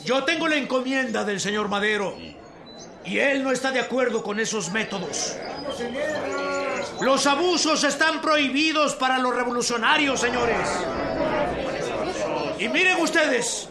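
In an echoey space with a busy crowd, an indignant revolutionary chief in a radio drama. His very high voice carries earnest, emphatic, formal appeals to his men. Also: a little slow, long pauses. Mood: firm, disappointed. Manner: pleading, formal, emphatic oratory. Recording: echoey room with crowd noise.

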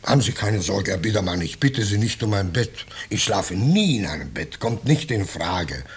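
An actor playing an ingratiating vagrant in a 1950s German radio play, speaking with unctuous false modesty and quiet menace. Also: male voice, lowish voice, animated, moderate pace, breathy edge, heavily acted. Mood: insinuating. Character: ingratiating vagrant stranger, slyly menacing, mock-humble. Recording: noisy 1950s radio drama recording.

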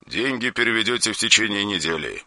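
A cold, menacing caller on the other end of a phone, as a male narrator puts him on, speaking quietly in a hard, dry, flat voice. He never raises it and clips every line short. The recording is close and dry, with no room sound. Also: on the low side, fast talker, dry recording, full character voice. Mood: cold menace.

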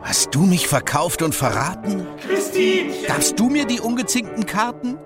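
Unhinged, bitter male loner, slow menacing drawl leaping wildly, loud music behind. Radio drama. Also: big pitch swings. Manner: theatrical, swinging from drawl to shrill outbursts. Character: bitter, unhinged man muttering menacingly to himself. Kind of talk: German radio drama acting.

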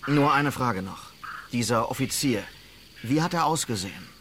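A man's voice: mid-range voice, lively intonation, moderate pace, fairly bright tone, a little hiss or hum, lightly acted. An actor playing a bold, inquisitive lawman in an Old West radio drama, persistent in his questioning. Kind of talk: western radio drama acting.

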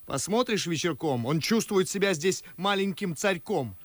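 An actor playing an indignant, eloquent young gentleman, declaiming sarcastically in verse in a theatrical radio play. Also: high-pitched, lively intonation, moderate pace. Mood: scornful, ironic.

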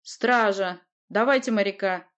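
An imperious young sorceress from a fantasy storybook, acted out by a woman narrator. She speaks in a raised, commanding voice, sharp and insistent, defiant toward anyone who doubts her. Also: on the high side, expressive, fairly quick, dull audio, pitched above the performer's own voice, natural voice. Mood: cold, threatening.

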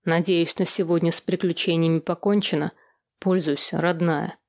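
A woman's voice: fairly low pitch, fairly even intonation, normal speed, darkish tone, no echo, studio quality, voice pushed down, close to an everyday voice. A woman voicing a possessive, controlling ex-husband with a stern, commanding, menacing manner in an audiobook. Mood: curt, mocking.

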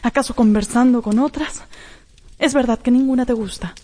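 An actress playing a romantic, flustered married gentlewoman in a melodramatic radio drama. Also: on the high side, moderate pace, dry recording, lightly acted. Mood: needy. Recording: music behind.

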